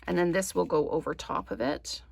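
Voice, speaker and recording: A woman hosting a craft tutorial, slightly hoarse, chatting in a casual, apologetic way and thinking aloud with frequent ums. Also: deep voice, fairly quick, slight background noise. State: slightly hoarse, losing her voice. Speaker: crafting channel host.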